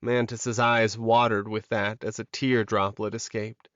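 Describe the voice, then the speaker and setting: A man's voice: on the low side, little pitch movement, unhurried, fairly bright tone, no echo, muffled recording. A man narrating a scripted, dramatic fantasy romance audiobook.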